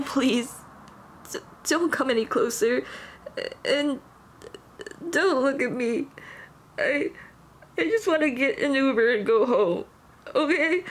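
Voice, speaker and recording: A woman's voice: sits high, a little hiss or hum. A woman playing a shy, flustered monster girl in an ASMR roleplay, with a nervous, stammering, rambling way of talking. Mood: upset, pleading. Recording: music behind the voice.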